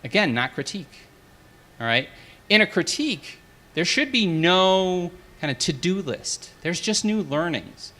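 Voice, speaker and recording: Bright-voiced man presenting at a conference. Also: sits high, unhurried. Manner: direct, energetic, asks the room. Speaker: conference speaker. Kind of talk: conference talk with Q&A.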